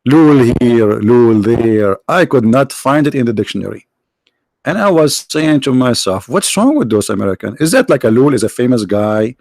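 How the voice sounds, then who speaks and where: Host: man, mid-pitched, first language Arabic, mocking and halting delivery, dry close mic. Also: big pitch swings, gravelly, a little mellow, dry recording. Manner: sarcastic, halting with many fillers, breaks into mock singing. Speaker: live-stream host. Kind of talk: mocking religious debate stream.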